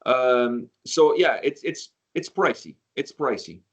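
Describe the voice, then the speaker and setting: A man's voice: mid-range voice, animated, takes it slowly, fairly bright tone, muffled recording. A man from Canada hosting a casual interview, chatty and rambling with plenty of filler words.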